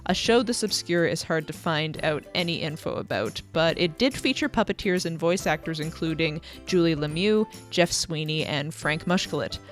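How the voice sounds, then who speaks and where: A woman's voice: low voice, moderate pace, few pauses, soft background music. A young woman reading scripted video essay narration.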